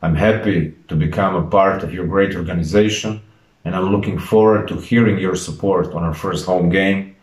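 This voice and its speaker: A man, a basketball head coach giving a measured, formal introductory address to fans. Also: deep voice, fairly even intonation. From Croatia. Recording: roomy echo.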